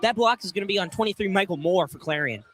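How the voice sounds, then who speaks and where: A man's voice: high-pitched, fast talker. A man giving live color commentary on college basketball for radio, emphatic and often repeating his phrases, inside a gym. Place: indoor college field house during a game. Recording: live gym broadcast with background noise.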